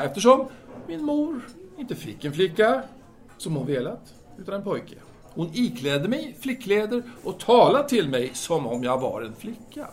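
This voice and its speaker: An actor playing a candid, self-assured male French intellectual in an audio drama, speaking formally and deliberately with hesitant pauses. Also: a little high. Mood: emphatic, candid.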